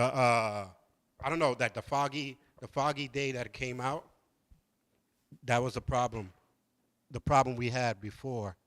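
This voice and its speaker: A man from New York hosts a relaxed talk show from a studio. He chats loosely, laughs easily and stumbles over repeated words as he talks. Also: mid-range voice. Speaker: host. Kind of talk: casual chat.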